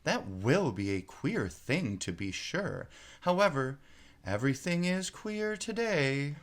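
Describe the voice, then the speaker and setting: Man voicing an earnest little storybook girl, swooping pitch. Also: sing-song, takes it slowly, full character voice. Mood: fretful. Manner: earnest, wondering, apologetic. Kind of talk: storybook character reading.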